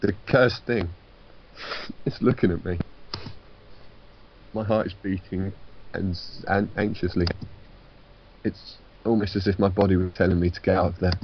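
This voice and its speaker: A man reading a visual novel's narration out loud in a casual way, now and then restarting a line. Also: low voice, steady tone, moderate pace, long pauses, highs cut off, a little hiss or hum. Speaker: guest co-reader on gaming video.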